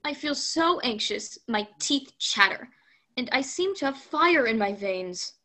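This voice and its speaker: A woman's voice: high voice, expressive, a little slow, sharp tone, exaggerated voice. A woman playing an uneasy, guilt-ridden mother in a group reading of a fairy tale, speaking her lines anxiously. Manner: anxious, fretful delivery. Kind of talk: amateur group read-aloud of a fairy tale.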